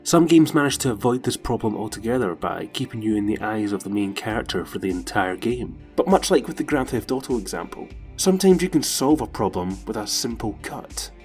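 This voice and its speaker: A man's voice: on the low side, animated, brisk, few pauses, a little mellow, faint music behind. A man delivering scripted narration as the presenter of a video essay.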